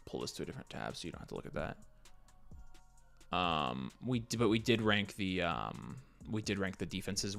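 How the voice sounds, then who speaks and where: A man with a fairly low voice and an American accent hosts a casual live question-and-answer stream. He reads questions out, thinks aloud and gives short, conversational picks. Faint music plays behind him. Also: a bit bright. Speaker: fantasy football livestream host.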